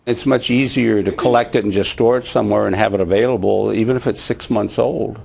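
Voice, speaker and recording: A man's voice: fairly low pitch, steady tone, fairly quick, gravelly, a little mellow, husky. A man with an American accent answering as an interviewee. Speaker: interviewee, former intelligence employee.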